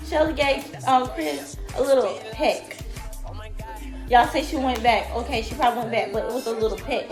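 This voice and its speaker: Woman, raspy from a cold, fairly high voice, blunt opinion vlog, echoey room, loud music underneath. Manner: blunt, emphatic, talks to viewers as y'all. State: sick, raspy voice. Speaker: reality TV commentator.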